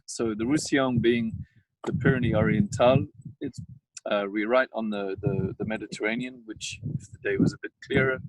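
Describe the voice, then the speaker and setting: A man with a South African accent, a winemaker talking casually and haltingly with many fillers while standing outdoors in a vineyard, tired and coughing now and then. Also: fairly low pitch, fairly even intonation, no room sound, poor audio.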